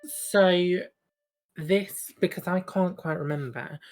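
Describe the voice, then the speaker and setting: A woman with a notably low-pitched voice co-hosts a casual review show. She talks informally and playfully, as if among friends. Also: slow pace, a little mellow, faint music behind. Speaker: podcast co-host. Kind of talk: casual chat reviewing an audio drama.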